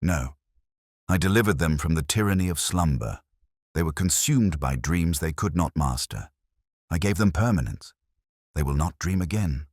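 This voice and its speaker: A man voicing a coolly grandiose, fanatical murderer in an audiobook, with a calm, menacing, declamatory delivery. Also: extremely low pitch, moderate pace, slightly rough, no echo, clean studio sound, heavily acted. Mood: calm, chilling. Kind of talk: dramatized audiobook villain.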